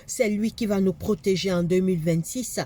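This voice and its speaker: A woman's voice: mid-range voice, normal speed, mellow. A woman with a cold preaching a fervent sermon, repeating phrases for emphasis. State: has a cold, congested.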